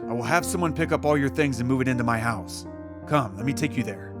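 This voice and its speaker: A man's voice: mid-range voice, fast talker, over music, natural voice. A man playing a cool, guarded young bachelor in an audio drama, matter-of-fact and protective. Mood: quieter, reassuring.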